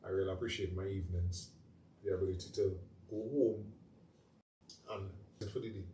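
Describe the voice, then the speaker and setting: A man's voice: low-pitched, fairly even intonation, normal speed, mellow. A man with a Trinidadian accent chatting as a talk show guest, telling stories animatedly in Creole phrasing. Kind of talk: casual chat. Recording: echoey room, mic at a distance, faint noise.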